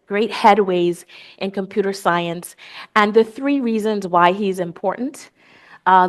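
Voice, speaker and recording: A woman professor from the Bahamas answering interview questions on stage in an articulate, reflective way. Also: mid-range voice. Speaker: guest lecturer, professor.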